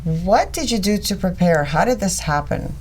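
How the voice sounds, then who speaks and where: A woman's voice: mid-range voice, expressive, normal speed, darkish tone, husky. A woman hosting a podcast, interviewing conversationally in an emphatic, effusive manner. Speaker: podcast host.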